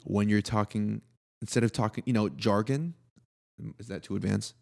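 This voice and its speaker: A man's voice: fairly even intonation, normal speed, creaky, a little mellow. A man, co-hosting a podcast in a laid-back, joking, slangy way. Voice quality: deep voice. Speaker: podcast co-host. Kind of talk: casual chat.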